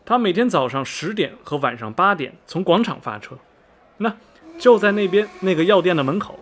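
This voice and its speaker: A man's voice: sits high, animated, normal speed. A man playing a chatty, folksy middle-aged ticket clerk in a radio drama, rambling and exclaiming colloquially. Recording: faint background noise and ambience.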